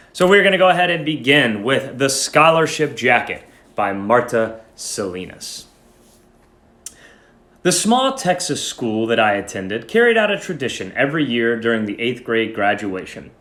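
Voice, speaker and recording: A man giving an expressive dramatic reading of a short story, phrasing it deliberately. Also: fairly low pitch. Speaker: story reader. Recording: roomy echo.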